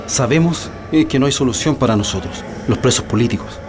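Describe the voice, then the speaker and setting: An actor playing a calm, methodical leader of political prisoners in a radio drama, with a Chilean accent and a measured, reassuring delivery. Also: male voice, mid-range voice, background noise, natural voice. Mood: steady, reassuring. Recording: music behind the voice.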